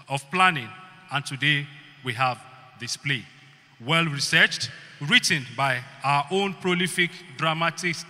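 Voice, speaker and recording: A Nigerian-accented man delivers a formal, oratorical speech in a fairly high, very sharp and forceful voice. His deliberate phrases ring through a large reverberant hall. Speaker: executive producer giving a speech. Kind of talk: prepared formal public address.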